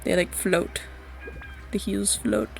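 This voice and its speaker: Female streamer, young adult: medium-pitched, slangy, blunt, off-the-cuff game commentary with light background music. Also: little pitch movement, slow pace, vocal fry, rough recording. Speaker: game livestreamer. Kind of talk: casual, rambling live game commentary.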